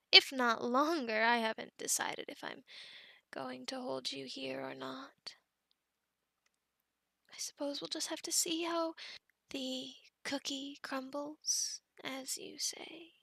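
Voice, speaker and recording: A woman playing a possessive, obsessive female werewolf in an ASMR roleplay, often whispering, formally worded and softly menacing, close to the microphone. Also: high-pitched, no echo, heavily acted. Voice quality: breathy whispering. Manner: slow, formal wording, soft menacing coaxing, often whispering.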